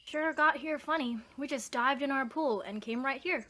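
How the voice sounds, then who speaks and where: Woman playing a bright, high-voiced feisty young girl. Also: moderate pace. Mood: puzzled. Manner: folksy, defiant, blunt. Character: bossy, feisty older sister, a tomboyish girl. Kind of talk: radio drama acting.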